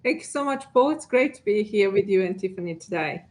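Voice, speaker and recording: A woman guest on a podcast introducing herself as a chief technologist, polite and measured. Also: mid-range voice, expressive, a little mellow. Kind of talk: interview answers.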